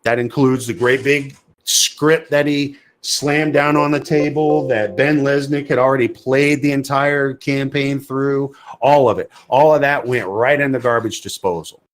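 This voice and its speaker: A man's voice: mid-range voice, fairly even intonation, a little slow, few pauses. A man co-hosting a podcast in casual chat, speaking bluntly and emphatically, often repeating words as he builds a point. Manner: emphatic, repetitive, blunt opinions. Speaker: podcast co-host.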